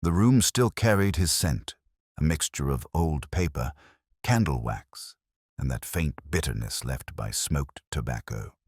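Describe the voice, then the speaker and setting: A man narrating a scripted first-person detective story in a measured, literary, reflective manner. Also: very deep voice, lively intonation, husky, no echo, studio quality.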